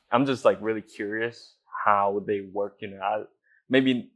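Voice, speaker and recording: A man's voice: fairly low pitch, fairly even intonation, measured pace, a bit bright. A young man, an actor, chatting in a laid-back way with chuckles and loose fillers. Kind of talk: casual interview chat.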